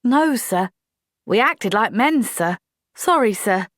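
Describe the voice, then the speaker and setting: A woman's voice: fairly high pitch, lively intonation, slow, fairly bright tone, no room sound, clean studio sound, voice pushed up. A woman voicing an earnest young woman soldier. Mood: dutiful. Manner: firm, apologetic, dutiful.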